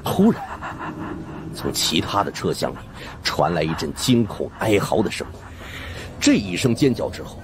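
Very deep, animated male audiobook narrator. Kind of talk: dramatic scripted first-person storytelling. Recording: faint music behind the voice.

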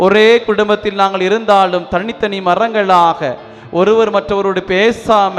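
A man leading a spoken prayer as a priest, pleading fervently, swinging between loud appeals and hushed sorrowful passages. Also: sits high. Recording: long reverb with faint music behind.

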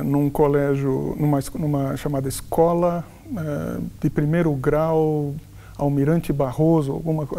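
A man's voice: on the high side, gravelly, warm tone. A man in his sixties with a Paulistano accent, telling his life story in an interview, often restarting and correcting himself. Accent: Paulistano Brazilian Portuguese. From São Paulo, Brazil. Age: 60s. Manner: halting, frequent restarts and self-corrections. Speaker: interviewed professor. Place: furnished office with wooden cabinets, indoors. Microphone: lapel.

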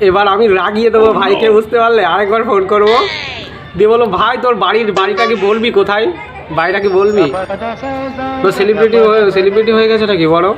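Fast, high-voiced young Bengali man. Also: steady tone, lo-fi sound. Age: 20s. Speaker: prank-call video host. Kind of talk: casual vlog chat and prank calling. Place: outdoors beside a wall and metal shutter.

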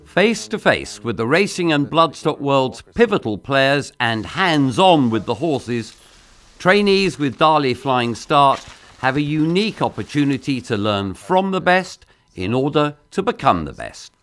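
A man giving scripted promotional narration in a polished, measured voice-over delivery. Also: mid-range voice, very animated, fairly bright tone.

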